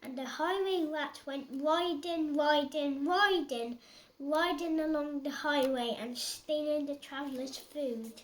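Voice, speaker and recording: Young child, high voice, slow halting storybook reading. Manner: halting early reader, repeats words.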